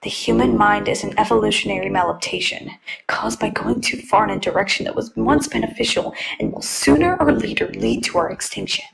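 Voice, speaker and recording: A woman playing a sarcastic, foul-mouthed, nihilistic robot schoolgirl in a fan dub of a video game, with a brash, gravelly delivery. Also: mid-range voice, brisk, crowd noise, poor audio. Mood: bleak. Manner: brash, sardonic, turning to bleak monologue.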